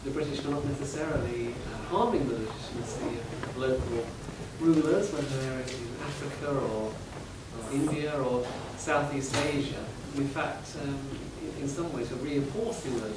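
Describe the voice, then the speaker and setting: A man's voice: mid-range voice, moderate pace, hardly any pauses. A man on an academic panel speaking hesitantly, with many fillers and restarts. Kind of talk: academic round-table discussion. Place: seminar room. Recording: echoey hall, distant mic, background noise.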